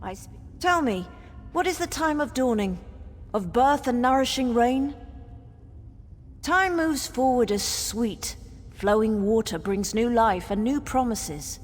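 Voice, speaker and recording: A woman's voice: fairly high pitch, expressive, unhurried. An actress playing a solemn, ancient female spirit guardian who speaks cryptic riddles ceremonially in a fantasy game. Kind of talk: theatrical fantasy game dialogue. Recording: cavernous long reverb with background noise.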